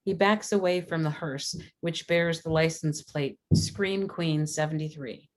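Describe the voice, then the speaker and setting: Female narrator for screenplay stage directions; deep-pitched voice, scripted read. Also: measured pace, rough recording.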